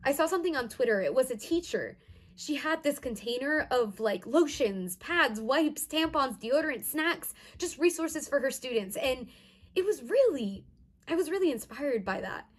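A woman's voice: high voice, expressive, normal speed. A woman in her twenties playing an earnest young community organizer in a conversational first-person monologue. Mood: hopeful. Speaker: actor in a dramatized monologue. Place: indoors, plain room.

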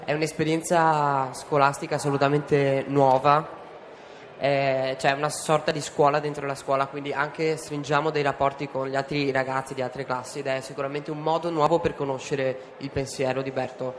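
A man's voice: mid-range voice, level tone, normal speed, a little hiss or hum. A teenage boy who is a student actor, explaining his part in an interview fluently and eagerly. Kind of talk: interview answers.

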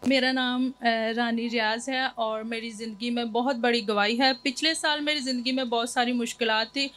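Brief: woman, high bright voice, earnest and thankful, church testimony. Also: steady tone. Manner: earnest, thankful, halting at first. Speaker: church member giving testimony. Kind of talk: spoken testimony. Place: indoor church stage. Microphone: handheld mic held by host.

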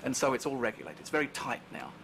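A middle-aged man, a ship's officer, speaking relaxed in an interview. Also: mid-range voice, fast, creaky, noisy background.

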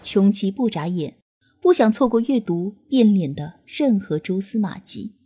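A woman reading a novel as an audiobook narrator, in an expressive scripted storytelling style. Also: medium pitch, unhurried, slightly rough.

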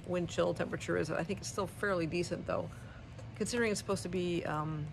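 A middle-aged woman chatting casually about books in a vlog, halting as she thinks aloud. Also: lowish voice, gravelly, noisy background. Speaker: book vlogger. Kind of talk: casual book review chat. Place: small wood-paneled living room indoors. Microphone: phone or camera at arm's length.

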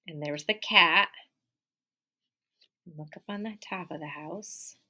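Animated woman teacher reading a storybook. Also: lowish voice. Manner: animated storytelling with gasps and playful questions to children. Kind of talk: children's picture book read-aloud. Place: classroom or office with window and colorful wall hanging. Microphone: webcam at close range.

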